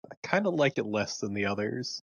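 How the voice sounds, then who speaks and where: A man's voice: fairly low pitch, animated, a little fast, vocal fry, lo-fi sound. A man giving casual co-commentary on a game, blunt, dismissive and freely swearing. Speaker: let's play co-commentator.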